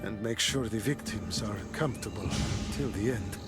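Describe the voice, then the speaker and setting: An actor playing a regretful, subdued inventor in a video game. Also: male voice, fairly low pitch, normal speed, lightly acted. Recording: game music underneath.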